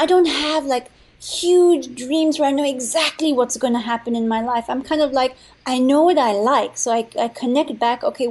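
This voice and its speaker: A woman's voice: sits high, a little mellow. A young woman, born in Thailand and raised in India and Nepal, talking from her home as a podcast guest in a bubbly, rambling way. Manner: bubbly, rambling, many fillers and restarts. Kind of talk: casual interview.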